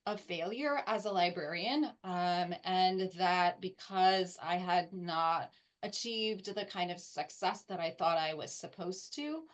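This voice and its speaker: A woman with a fairly low voice speaks reflectively as a panelist. She talks in a measured, thoughtful way about her research. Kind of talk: live panel discussion.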